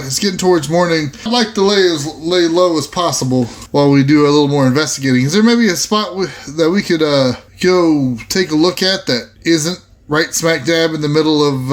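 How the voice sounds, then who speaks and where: A man chatting and improvising casually as a player in a tabletop horror roleplaying game. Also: a little high.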